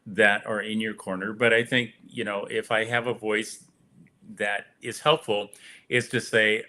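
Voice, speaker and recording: A man speaking unscripted as a panelist in a political discussion, halting and rambling as he searches for his words. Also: lowish voice, flat delivery, sharp tone. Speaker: panelist on a political talk show.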